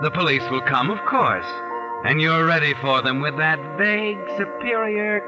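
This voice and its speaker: Sardonic radio mystery narrator over loud music. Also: male voice, on the high side, poor audio. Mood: mocking. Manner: insinuating, mocking, second-person address. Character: sardonic, omniscient male mystery narrator taunting the guilty man. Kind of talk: 1940s radio drama narration. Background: dramatic music.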